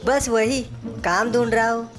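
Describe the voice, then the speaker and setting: A woman's voice: a little high, steady tone, a little slow, over music, close to an everyday voice. A woman voicing an envious, scheming young male helper in a cartoon moral story. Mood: friendly.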